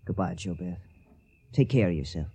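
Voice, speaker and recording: Low, mellow young soldier. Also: male voice, animated, creaky, breathy edge, heavily acted. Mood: wistful, resolute. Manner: measured, reflective. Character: gentle, wistful young soldier sparing his rival. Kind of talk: radio drama acting. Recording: noisy old radio drama recording.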